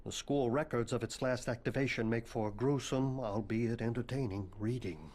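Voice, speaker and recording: An actor playing a morbid, bookish, eccentric occult professor in a video game, speaking formally and pedantically with a deadpan air. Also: male voice, mid-range voice, moderate pace, natural voice. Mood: morbid, matter-of-fact. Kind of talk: video game cutscene acting.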